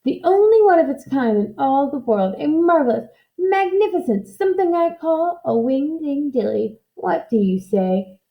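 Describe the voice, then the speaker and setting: A woman's voice: big pitch swings, normal speed, echoey room, lo-fi sound. A woman voicing a gleeful, boastful little old witch from a storybook, screechy and theatrical. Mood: coaxing, boastful. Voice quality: high, screechy. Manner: theatrical, chanting nonsense rhymes. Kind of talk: storybook character voice.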